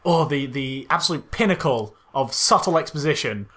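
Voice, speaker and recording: A man co-hosting a casual comedy podcast about films, retelling things haltingly with sarcastic asides. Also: mid-range voice, expressive, bright, forceful tone. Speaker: podcast co-host. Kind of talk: casual comedic film critique chat.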